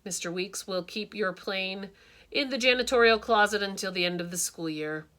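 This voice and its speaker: Woman voicing a stern, brisk school principal. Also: mid-range voice, close to an everyday voice. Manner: firm, loud and brisk. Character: stern male school principal, firm and official.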